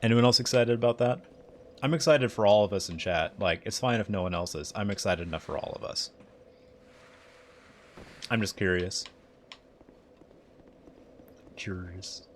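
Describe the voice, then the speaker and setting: A young man with a mixed Southern and Midwestern American accent, chatting excitably and rambling in a self-mocking way while streaming a game. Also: lowish voice, normal speed, pauses often, a little hiss or hum. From United States. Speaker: livestreamer. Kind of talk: casual live gameplay commentary.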